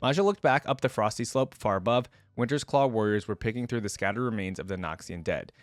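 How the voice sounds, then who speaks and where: A man's voice: on the low side, fairly quick, no room sound, studio quality. A man talking casually as a video creator, moving between chatty reaction commentary and reading a story aloud.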